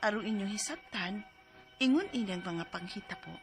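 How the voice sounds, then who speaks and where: A woman's voice: medium pitch, expressive, normal speed, loud music behind the voice, lightly acted. A woman acting an anxious, fussing middle-aged mother with a Cebuano accent in a radio drama, exclaiming as she goes. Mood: earnest narrating.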